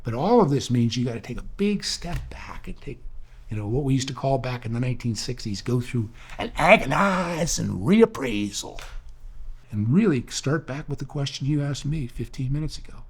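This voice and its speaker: A man's voice: medium pitch, very animated, moderate pace, husky. An older man, an author, telling rambling, earnest stories in a reflective talk, often restarting his sentences. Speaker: author and speaker.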